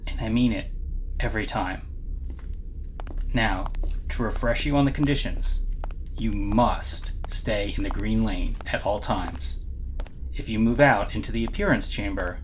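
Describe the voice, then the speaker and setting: An actor playing a calm technician in a sci-fi audio play, procedural yet gently reassuring. The voice sits at a middling pitch, with some ambient noise around it. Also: measured pace, natural voice, rough recording. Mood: measured, sympathetic. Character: calm, sympathetic afterlife technician briefing a client. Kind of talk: audio drama acting.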